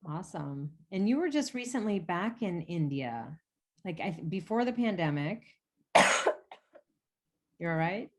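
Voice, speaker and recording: A woman hosting a casual interview who speaks with clear enthusiasm and generous praise for the food. Her voice sits low in pitch for a woman. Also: very animated, slow, pauses often. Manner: enthusiastic, warmly complimenting. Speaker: executive chef, interviewer.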